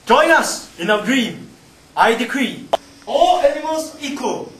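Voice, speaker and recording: Man acting a very high-pitched animal comrade. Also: very animated, slow pace, a bit bright, full character voice. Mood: idealistic, encouraging. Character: preachy farm animal comrade, protesting under accusation. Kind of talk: amateur skit acting. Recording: echoey room, noisy camcorder sound.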